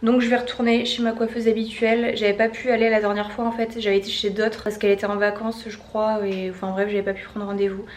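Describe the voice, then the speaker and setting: A woman's voice: medium pitch, little pitch movement, fast talker, few pauses, a little hiss or hum. A young woman with a French accent, chatting casually to camera in a personal vlog, with lots of fillers and restarts. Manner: chatty, many fillers and self-corrections. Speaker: vlogger. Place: outdoors on walks and at home. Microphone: handheld compact camera.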